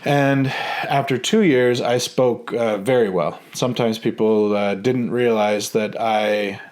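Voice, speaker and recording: A man in his thirties telling a personal story in a relaxed, hesitant way, full of ums and restarts. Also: on the low side, takes it slowly. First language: English. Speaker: vlogger.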